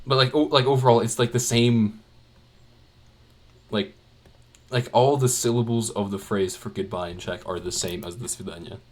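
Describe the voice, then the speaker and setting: A man's voice: fairly low pitch, fairly even intonation, moderate pace, pauses often. A young man chatting casually while he draws, rambling with many fillers and restarts. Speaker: art channel creator. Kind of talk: casual chat while drawing.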